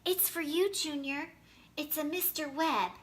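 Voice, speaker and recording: A woman playing a caring, gently probing mother in a children's storybook. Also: high-pitched, a little slow, voice pushed up. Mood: matter-of-fact.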